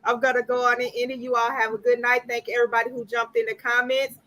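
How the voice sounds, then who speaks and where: A woman's voice: a little high, flat delivery, fast, bright, forceful tone, no room sound. Woman in her fifties hosting a stream. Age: 50s. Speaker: host. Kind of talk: hosting live-stream talk show.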